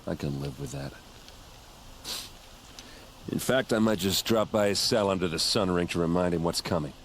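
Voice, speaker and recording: Deep-voiced roguish warrior captain. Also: male voice, moderate pace, a bit bright, slight background noise. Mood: grimly satisfied. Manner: casual, blunt, joking. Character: roguish, self-deprecating male warrior captain, brash but sincere. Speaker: voice actor in a video game. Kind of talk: dramatic cutscene dialogue.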